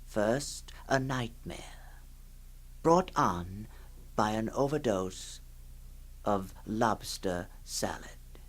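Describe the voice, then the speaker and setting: An actor playing a sly séance host introducing a radio horror anthology, hushed, sinister and teasing, with hesitant phrasing. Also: male voice, lowish voice, lively intonation, very slow, pauses often, exaggerated voice. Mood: sly, inviting. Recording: 1960s radio drama with faint music.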